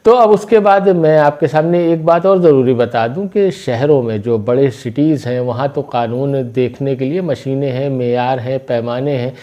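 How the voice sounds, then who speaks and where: A man's voice: medium pitch, moderate pace, hardly any pauses, warm tone, highs cut off. A man presenting local news commentary, explaining things point by point in a conversational way.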